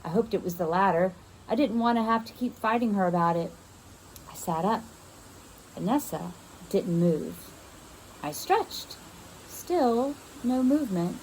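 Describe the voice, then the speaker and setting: A woman reads a children's first-person novel aloud in an expressive storytelling style, as a teacher would. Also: medium pitch, measured pace, long pauses, slight background noise, low-quality recording.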